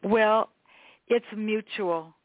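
A woman's voice: medium pitch, extremely slow, no room sound. A woman hosting a podcast in a conversational, effusive way, repeating words for emphasis. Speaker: podcast host. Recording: narrow phone-like band.

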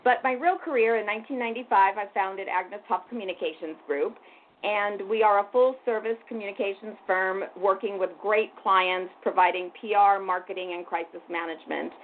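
An older woman from Hungary, president of a museum board, introducing herself as a guest on a radio talk show in a composed, measured way. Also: mid-range voice. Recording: tinny phone-like line with faint noise.

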